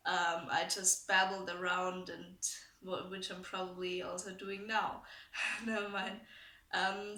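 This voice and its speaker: A seventeen-year-old woman from Austria with a German accent, talking casually to camera, hesitant, with lots of ums. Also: mid-range voice. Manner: hesitant, many ums, soft and a bit flat. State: a bit ill. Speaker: vlogger. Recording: echoey room, mic at a distance.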